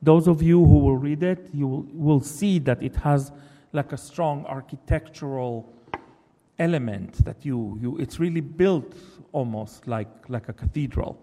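A man's voice: a little high, mellow. A man whose first language is Hebrew, a novelist giving a thoughtful talk in English and often restarting his sentences. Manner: thoughtful, halting, with many restarts. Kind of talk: reflective public talk. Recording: long reverb of a hall.

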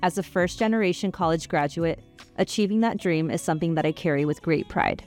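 A woman from Northern California, a clinical social worker, giving a warm, sincere scripted introduction. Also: lowish voice, a little fast, soft background music.

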